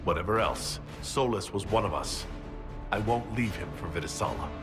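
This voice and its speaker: A man's voice: fairly low pitch, moderate pace, music in the background, close to an everyday voice. A man playing an embattled, defiant fantasy leader in a dramatic video game scene. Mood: firm, defiant.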